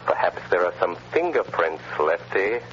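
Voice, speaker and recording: An actor playing a mysterious male avenger in a 1930s radio drama who taunts a crook with slow, deliberate menace. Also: sits low, big pitch swings, a bit bright. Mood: menacing. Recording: dull, faintly hissy 1930s radio transcription.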